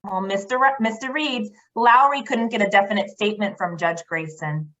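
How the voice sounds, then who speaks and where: A woman's voice: mid-range voice, moderate pace, fairly bright tone, highs cut off, close to an everyday voice. A woman playing a wry, efficient newspaper secretary in an old-time radio drama. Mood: matter-of-fact.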